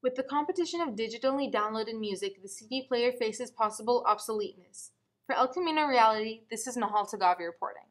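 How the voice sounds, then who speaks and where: A teenage girl reporting a student news story in a scripted voice-over, measured and even. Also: a little high. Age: teens.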